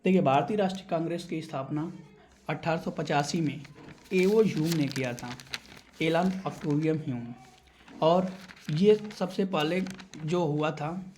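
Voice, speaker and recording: Higher-pitched male exam tutor. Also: unhurried, long pauses, a little mellow, slight background noise. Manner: instructional, repeats key terms for memorising. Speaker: exam coaching teacher. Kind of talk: explaining quiz answers for exam preparation.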